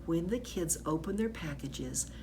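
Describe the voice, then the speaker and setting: Slow-paced woman reading a children's story, noisy recording. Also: low voice. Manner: animated, with drawn-out emphasis on key words. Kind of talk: expressive read-aloud narration for children.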